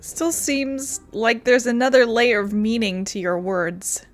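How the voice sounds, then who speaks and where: Woman gamer, fairly high voice, casual commentary, informal, reacting aloud, music in the background. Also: steady tone, unhurried. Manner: informal, reactive, swears freely. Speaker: streamer playing a video game.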